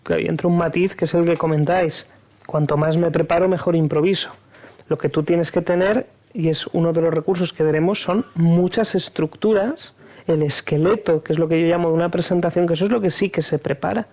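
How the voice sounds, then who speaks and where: A man's voice: on the high side, fairly even intonation, brisk, darkish tone. A man on an online panel, explaining with confident, rhythmic lists of points. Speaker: oratory speaker on a panel. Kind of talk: panel discussion. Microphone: video call.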